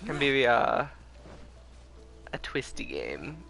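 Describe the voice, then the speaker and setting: A young man giving casual, chatty commentary as a game streamer, quick to giggle. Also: medium pitch, huge pitch swings, vocal fry, pushed and bright, soft background music.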